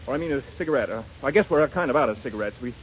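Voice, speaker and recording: Actor as a flustered, stammering husband, muffled old radio. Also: male voice, medium pitch, lively intonation, natural voice. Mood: flustered, eager. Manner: halting, stammering. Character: flustered, eager, hard-up out-of-work stage actor husband. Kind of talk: 1930s radio comedy-drama acting. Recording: muffled, faintly noisy 1930s radio recording.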